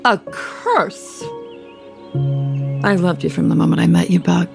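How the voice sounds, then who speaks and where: An actress playing a sardonic, worldly older wife in an audio soap opera, wry and pointed. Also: female voice, fairly low pitch, measured pace, creaky, music in the background. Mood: fond.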